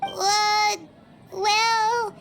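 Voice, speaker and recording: A woman's voice: extremely high pitch, little pitch movement, extremely slow, background noise, heavily acted. A woman voicing a tiny, blunt, chirpy fairy companion girl with a childish manner in an anime video game. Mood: earnest. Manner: childish, speaks of self in third person. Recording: loud children's music behind the voice.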